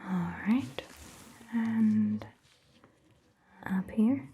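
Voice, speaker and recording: A woman playing a caring vampire doctor in an ASMR roleplay, whispering gently and reassuringly in a breathy, hushed voice. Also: mid-range voice, muffled recording, full character voice. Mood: gentle, reassuring. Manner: gentle, slow, reassuring, softly questioning. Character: caring, attentive female vampire doctor tending a patient. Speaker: ASMR performer. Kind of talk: soft-spoken ASMR roleplay, mostly whispered.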